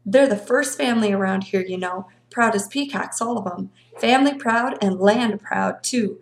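A woman's voice: medium pitch, moderate pace, reverberant, natural voice. A woman voicing a fat, sleepy male constable who wheezes and grumbles in a folksy rural drawl for a storybook reading. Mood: amazed. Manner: grumbling, long-winded, suspicious.

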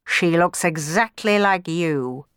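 A woman's voice: on the high side, lively intonation, a little slow, fairly bright tone, no echo, studio quality, voice pushed up. A woman storyteller voicing a blustering, snobbish older baron who splutters, snarls and gives orders. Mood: furious.

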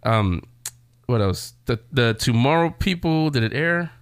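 A man's voice: medium pitch, animated, measured pace, smooth, no echo, studio quality. A man hosting a show in a casual, rambling, conversational style, talking straight to his audience. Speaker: show host. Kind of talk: casual chat reviewing TV shows.

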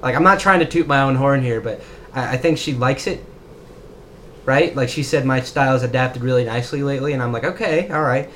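A man's voice: mid-range voice, brisk, fairly bright tone. Young male co-host, rambly and self-mocking, faint noise behind. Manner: rambling, self-deprecating, jokey. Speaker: podcast co-host. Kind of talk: casual chat.

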